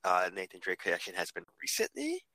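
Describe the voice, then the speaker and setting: Young man with a bright, dry voice. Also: sits low, wildly swooping pitch, quick pace, dry recording. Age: young adult. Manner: hesitant restarts, upbeat asides. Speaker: podcast co-host. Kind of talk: casual podcast chat.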